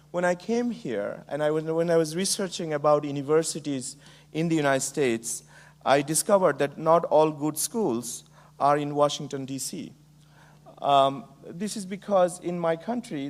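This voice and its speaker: A middle-aged Bangladeshi man delivers a keynote talk, his voice sitting slightly high for a man. He speaks in a measured way, pausing on frequent ums and uhs. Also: darkish tone. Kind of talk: conference keynote speech.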